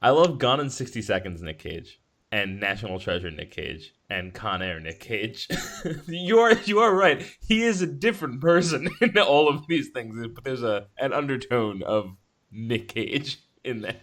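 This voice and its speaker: A man's voice: medium pitch, sing-song, a little slow, a bit bright. A man co-hosting a podcast, chatting casually in a playful, rambling way. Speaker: podcast co-host. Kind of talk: casual chat.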